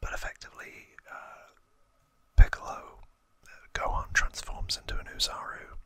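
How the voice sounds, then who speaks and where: An ASMR host whispering trivia in a breathy, unvoiced voice, explaining hesitantly with soft pauses. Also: dry recording.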